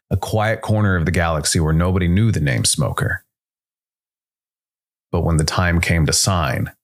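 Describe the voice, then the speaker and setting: Low-voiced man narrating a space noir story. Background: faint music.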